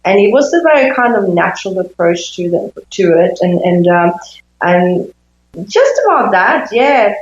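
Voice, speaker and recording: A woman in her fifties from Sweden, a native Swedish speaker, giving reflective interview answers with thoughtful pauses in a conversational style. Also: mid-range voice, big pitch swings, echoey room, highs cut off. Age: 50s. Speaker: interview guest, intimacy coach.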